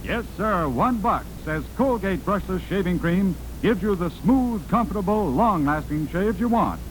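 American radio ad guy from the 40s, very lively pitch, noisy rumbly old broadcast. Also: a little slow. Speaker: radio announcer. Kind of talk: 1940s radio advertising announcing.

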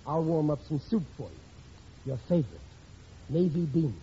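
An actor playing a soft-hearted, flustered working-class American married man in an old radio comedy, coaxing and pleading with stammers. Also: on the high side, normal speed, natural voice. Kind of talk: 1940s-50s radio comedy acting. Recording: dull, noisy old radio recording with audience.

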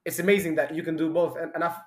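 A male host gushing excitedly in a casual chat, often repeating himself. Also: a little high, rapid-fire, reverberant.

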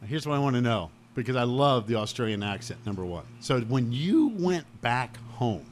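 A man hosting a sports talk show, asking long, rambling questions in an effusive, animated way. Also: mid-range voice, moderate pace, a little hiss or hum. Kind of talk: enthusiastic interview questions.

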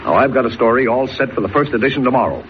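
Male actor as impatient blustering editor, brusque, emphatic, mid-pitched, 1940s radio drama, muffled old recording. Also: sing-song, racing, close to an everyday voice. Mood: brisk. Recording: dull, faintly noisy old radio recording.

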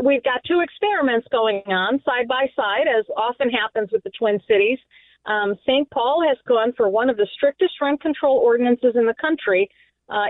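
Brief: Midwest woman pundit, higher voice, halting with ums, tinny phone-line sound. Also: dry recording. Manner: hesitant, many fillers. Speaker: political commentator. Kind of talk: radio panel discussion. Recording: phone-line guest, dry.

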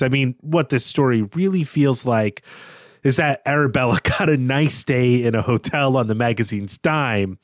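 A man's voice: mid-range voice, dry recording, studio quality. A man co-hosting a podcast, discussing literature in a casual, analytical and wry manner. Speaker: podcast co-host.

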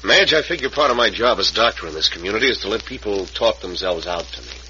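An actor playing an earnest, friendly small-town frontier doctor, folksy and plain-spoken, in old-time radio drama style. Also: male voice, on the low side, expressive, quick pace, bright, forceful tone. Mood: earnest, concerned. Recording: noisy, muffled vintage radio recording.

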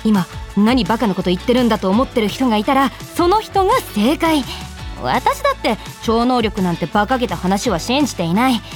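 Radio drama heroine, played by a woman: twenties office worker, high, very expressive, bubbly, quick to retort. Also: soft background music. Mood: bright, confiding. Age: 20s. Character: cheerful 24-year-old female office worker, secretly psychic. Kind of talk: radio drama acting and first-person narration.

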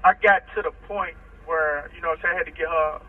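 A man's voice: on the high side, steady tone, fast, slightly rough, distant mic. A man calling in from prison, talking casually and rambling, often saying "you know what I'm saying", over a phone line. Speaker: incarcerated guest on a phone call. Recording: tinny prison phone line with faint noise.

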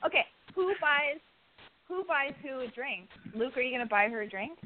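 A woman's voice: high voice, lively intonation, normal speed, a little hiss or hum. A woman hosting a casual chat, candid, joking and freely profane. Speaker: host. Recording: thin, phone-like narrowband sound.

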